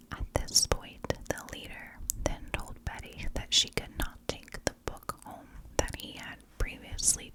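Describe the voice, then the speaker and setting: A woman narrating a story as an ASMR host, whispering in short, deliberate phrases. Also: rough recording.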